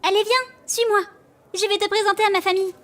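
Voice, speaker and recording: Une actrice joue une petite fille audacieuse et affectueuse, à la parole enfantine et décidée, dans une fiction audio. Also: female voice, very high voice, fairly even intonation, fast talker, fairly bright tone. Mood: cheerful, encouraging. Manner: childlike, cheeky, determined. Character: bold, warm-hearted little girl defending her adopted brother.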